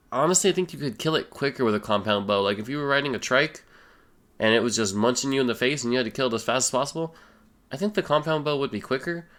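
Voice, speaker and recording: A young man with a stuffy, slightly sick voice, casually answering viewer questions as a gaming YouTuber, relaxed and full of fillers. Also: fairly low pitch. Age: young adult. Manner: relaxed, rambling, many fillers. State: stuffy nose, a little sick. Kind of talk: casual Q and A voice-over.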